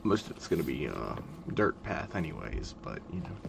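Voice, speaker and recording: A man in his twenties giving calm, monotone, rambling gameplay commentary, full of fillers. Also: rumbling low, moderate pace, creaky. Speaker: gaming video creator. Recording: loud background noise.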